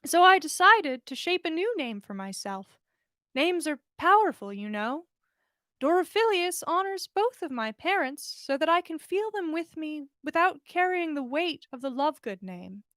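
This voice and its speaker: A woman's voice: high voice, big pitch swings, normal speed, fairly bright tone, dry recording, studio quality, pitched above the performer's own voice. A woman voicing a dreamy, earnest young woman with a solemn, honest manner in a story reading. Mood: wistful, earnest. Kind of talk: fan fiction story reading.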